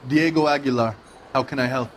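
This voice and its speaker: A man's voice: medium pitch, a little fast, a little hiss or hum, natural voice. An actor playing a world-weary male bartender in a 1940s detective video game, talking in a casual, slangy way. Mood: obliging, casual. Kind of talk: scripted dramatic game acting.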